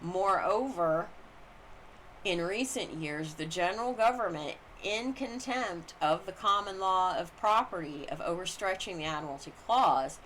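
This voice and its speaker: A woman with a slightly low voice narrates a formal written text. She delivers it deliberately, phrase by phrase, with careful emphasis. The recording carries some light background noise. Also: lively intonation, fairly bright tone. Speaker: reader of a book on constitutional law. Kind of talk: scripted narration, reading aloud. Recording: clearly noisy amateur recording.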